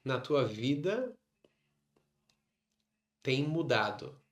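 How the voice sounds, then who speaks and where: A man with a Brazilian Portuguese accent leading a guided meditation, instructing in short phrases separated by long pauses. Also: medium pitch, big pitch swings. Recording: roomy, echoing sound.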